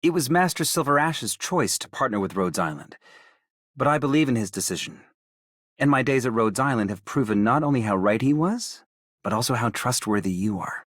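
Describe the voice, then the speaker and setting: Loyal formal retainer from a game, sincere, middle voice, dry. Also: male voice, mid-range voice, animated, fairly quick, a bit bright, no echo. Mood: sincere, respectful. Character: loyal earnest male retainer, formal and dignified. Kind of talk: video game character voice lines.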